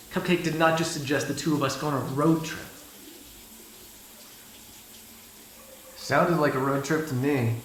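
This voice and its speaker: A man's voice: on the high side, a bit bright, slight background noise, close to an everyday voice, poor audio. A man playing a sarcastic, flirtatious vampire in an audio roleplay, teasing and swearing casually. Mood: incredulous sarcasm. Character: snarky, flirtatious, insatiable male vampire on the run. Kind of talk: ASMR roleplay acting, one side of a dialogue.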